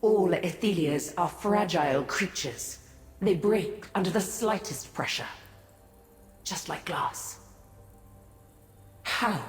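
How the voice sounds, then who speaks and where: A proud, bitter demon princess from a fantasy game, low in pitch and very measured. Her diction is formal and imperious, and the words ring out in a long reverb. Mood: bitter, scornful. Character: proud, bitter female Daedric prince awaiting imprisonment. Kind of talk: fantasy video game voice acting. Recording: roomy echo with long reverb, music behind.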